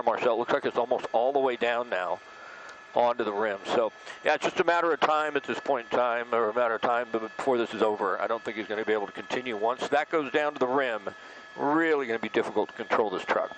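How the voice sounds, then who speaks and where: Fast-talking man reporting live, noisy background. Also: medium pitch, a bit bright. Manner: explanatory, speculating as events unfold. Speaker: helicopter news reporter covering a police pursuit. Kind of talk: live breaking-news commentary. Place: aboard a news helicopter.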